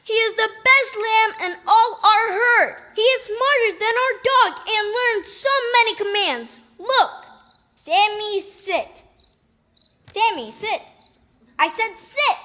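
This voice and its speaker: A woman's voice: very high voice, expressive, slow, sharp tone, a little hiss or hum, full character voice. A woman playing a stubborn young boy in a children's puppet show, pleading and protesting emphatically over his beloved pet.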